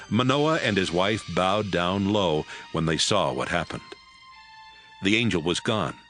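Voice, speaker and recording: A man narrating a Bible story in a steady, storytelling read. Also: on the low side. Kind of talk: scripted scripture narration.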